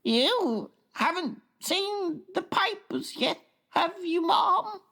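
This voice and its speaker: A woman's voice: very high voice, animated, extremely slow, a bit bright, pitched above the performer's own voice, heavily acted. A woman narrator voicing an older, deferential royal maid who speaks hesitantly and anxiously. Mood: nervous.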